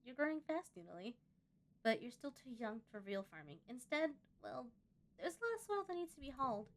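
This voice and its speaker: Woman reading as a firm, animated farmer mom. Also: high-pitched, fairly quick. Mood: firm, encouraging. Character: strong, brisk colony farmer mother, firm with her child.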